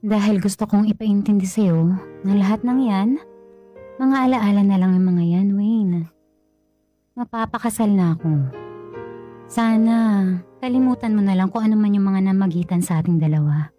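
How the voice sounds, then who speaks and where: Actress as young bride-to-be, mellow, gentle but firm, radio drama. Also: female voice, medium pitch, moderate pace, long pauses, muffled recording, soft background music, natural voice. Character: young woman about to marry, firm.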